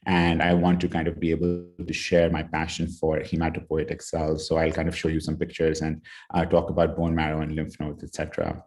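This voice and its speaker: A man from Pakistan, a pathologist, giving a relaxed online talk over a video call, with many "you know" and "kind of" fillers. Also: sits low. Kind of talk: online lecture with slides.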